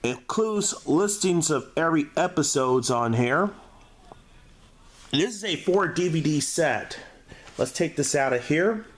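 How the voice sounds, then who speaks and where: Higher-pitched man giving a halting casual review. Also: animated. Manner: halting, stumbling over words when reading aloud. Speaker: DVD reviewer, show host. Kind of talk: casual unscripted video review.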